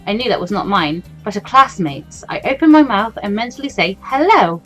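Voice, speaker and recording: A woman's voice: medium pitch, lively intonation, a little fast, fairly bright tone, poor audio. A woman hosting a game playthrough, reading story text aloud expressively and adding casual asides of her own. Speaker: let's play host. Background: faint game music.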